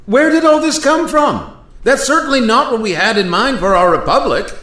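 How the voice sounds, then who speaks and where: A man playing an earnest, formal statesman from centuries past in a radio play, with measured, oratorical delivery. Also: very high voice, animated, pushed and bright, heavily acted. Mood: indignant, questioning. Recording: roomy, echoing sound.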